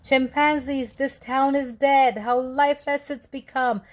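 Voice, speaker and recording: A woman's voice: high voice, fairly even intonation, a little slow, voice pushed up. A woman voicing a hopeful, kindly chimpanzee traveler from a storybook, speaking in a rousing, emphatic way. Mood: rousing, upbeat. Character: hopeful, kindly male chimpanzee traveler rallying his town.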